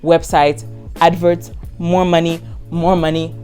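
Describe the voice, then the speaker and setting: A woman's voice: on the low side, flat delivery, unhurried, darkish tone, clean tone, faint music behind. A Nigerian woman in her twenties, a content creator answering viewer questions in a casual vlog, frank and emphatic, repeating words for stress. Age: 20s.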